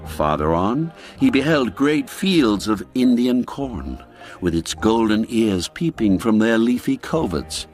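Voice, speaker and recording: A man reading a classic tale aloud as a scripted narration, slow and storytelling, letting phrase endings fall away. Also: on the low side, sing-song, darkish tone. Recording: faint music behind.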